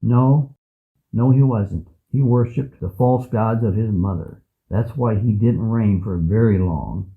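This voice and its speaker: An actor playing a kindly, steadfast old priest in a scripted Bible audio drama, speaking in a measured, earnest way. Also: male voice, lowish voice, narrow, tinny audio. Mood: gentle, instructive. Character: kindly, steadfast old male priest guiding a child king.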